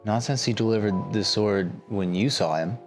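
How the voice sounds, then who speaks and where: A man's voice: fairly low pitch, normal speed, soft background music, lightly acted. A man voicing a young male soldier in disgrace who answers in an offhand, mumbled way.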